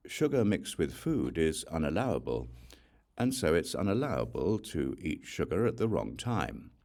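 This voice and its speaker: A man's voice: sits low, very animated, normal speed, a little mellow. A man voicing a scrupulous, doubting male monk in an audiobook, earnest and cautious about doing wrong. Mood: doubtful.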